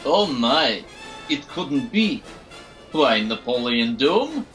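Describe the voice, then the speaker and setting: An actor playing a menacing doctor villain in an audio drama, theatrically courteous and falsely polite. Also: male voice, mid-range voice, sing-song, slow pace, fairly bright tone. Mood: feigned delight. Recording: music under the voice.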